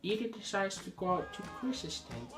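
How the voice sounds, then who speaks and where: A man's voice: high voice, sing-song, moderate pace. A man playing a mischievous, blunt old husband telling a story in an amateur comic skit. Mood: storytelling. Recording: echoey room, music behind.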